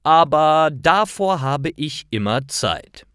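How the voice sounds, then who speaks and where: An annoyed schoolboy, acted by a male narrator, grumbles emphatically in a raised voice. It is a storybook delivery, animated and dry. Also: a little high, takes it slowly, clean tone, no echo, voice pushed up. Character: annoyed schoolboy talking about summer holidays. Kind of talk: storybook reading for language learners.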